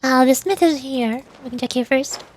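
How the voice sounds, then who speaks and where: A woman's voice: sits high, moderate pace, a little hiss or hum. A woman chatting casually as she plays a game on stream, a VTuber talking to viewers.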